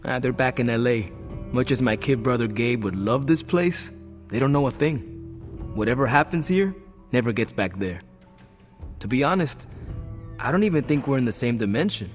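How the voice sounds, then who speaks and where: A man acts out a friendly young American hero in a video game, in a medium-pitched voice. He talks casually and streetwise, with an easygoing, reassuring manner. Music runs behind him. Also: expressive, moderate pace, pauses often, natural voice. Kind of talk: video game cutscene acting.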